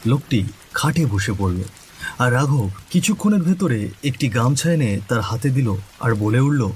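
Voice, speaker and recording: A man's voice: mid-range voice, animated, moderate pace. A man giving a scripted, suspenseful narration of a story. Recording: faint rain noise behind voice. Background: rain ambience.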